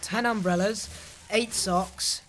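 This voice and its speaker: Timid, bright-voiced junior clerk. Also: male voice, high voice, steady tone, takes it slowly, faint music behind, poor audio. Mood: awkward, apologetic. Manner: nervous, awkward, polite. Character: timid, literal-minded, hapless male junior office worker. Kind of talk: audio sitcom acting.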